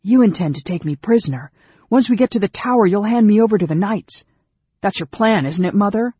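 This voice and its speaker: A man's voice: mid-range voice, a little slow, mellow, breathy edge, no echo, highs cut off. A man voicing an arrogant, suspicious young warrior in a fantasy audiobook, with a sneering, sarcastic manner. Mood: angry, suspicious.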